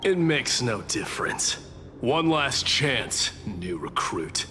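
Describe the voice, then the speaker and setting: A proud and menacing warrior prince speaks with slow, commanding weight. His stern delivery swings widely in pitch over loud music. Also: very animated, exaggerated voice. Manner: commanding, deliberate, challenging.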